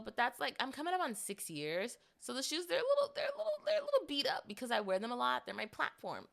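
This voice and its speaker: A young woman in her twenties hosting a casual solo podcast, chatting and rambling with animated asides, a little congested. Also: mid-range voice, creaky. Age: 20s. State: slight congestion. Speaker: podcast host.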